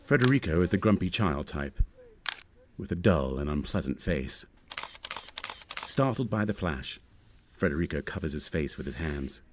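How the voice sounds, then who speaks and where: A man narrates a screenplay's action lines. His voice is exceptionally deep, and the delivery is scripted storytelling of each scene. A faint noise sits on the recording. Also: expressive, moderate pace, long pauses.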